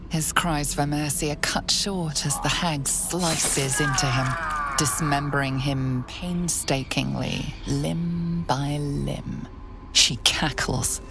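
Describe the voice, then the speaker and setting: A woman narrating a dark fantasy game in a measured, hushed, grave style of scripted storytelling. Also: sits low, vocal fry, exaggerated voice. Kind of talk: scripted second-person narration.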